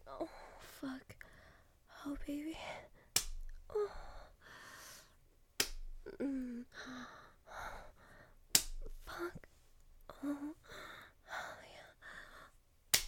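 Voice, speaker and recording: Slow, whispering young woman with vocal fry. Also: high voice, heavy background noise.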